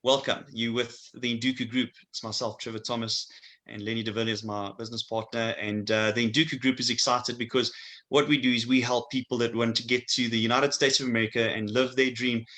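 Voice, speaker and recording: A man's voice: mid-range voice, flat delivery, brisk, pushed and bright. A man from Africa, giving an upbeat, salesy introduction as co-host of an immigration webinar. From South Africa. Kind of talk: scripted-sounding promotional intro.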